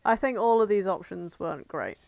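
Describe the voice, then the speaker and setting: A woman co-hosts a casual podcast at an average pitch. She is opinionated and teasing, bantering informally. Her voice comes through dry and close. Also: lively intonation, brisk, vocal fry, darkish tone, no echo. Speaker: podcast co-host. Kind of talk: casual chat.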